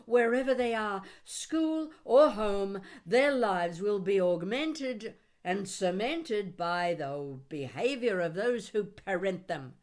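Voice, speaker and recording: A woman reading her own poetry aloud in an expressive spoken-word style, with deliberate, dramatic phrasing and wry humour. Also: medium pitch. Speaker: poet reading own work.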